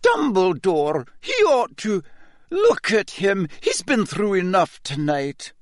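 A man's voice: high voice, very animated, slow pace, dry recording, clean studio sound, voice pushed up, exaggerated voice. A man voicing a strict older female professor in an audiobook, shaken and protective. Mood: protesting. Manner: hushed, pleading.

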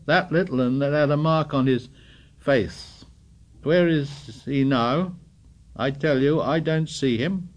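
A man's voice: fairly high pitch, takes it slowly, pitched above the performer's own voice. A man voicing an indignant, fussy schoolboy who scolds breathlessly in dialect grammar. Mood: gasping, fearful.

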